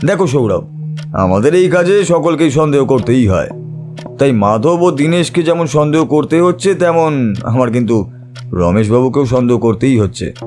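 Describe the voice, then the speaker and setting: An actor playing a shrewd, composed male private detective in an audio drama, measured, analytical and authoritative. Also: mid-range voice, lively intonation, darkish tone, soft background music, natural voice. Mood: reasoning.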